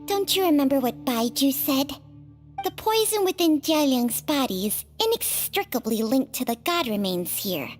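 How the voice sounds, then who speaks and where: A woman playing a calm, considerate companion in a video game, explaining things in a measured, grave way. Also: high voice, faint music behind.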